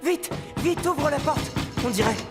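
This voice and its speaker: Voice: sits high, expressive, measured pace, over music. An actor voicing an earnest, plucky teenage boy hero in a cartoon, eager and decisive. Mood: urgent, determined. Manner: eager, reasoning aloud, decisive. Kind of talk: cartoon character voice acting.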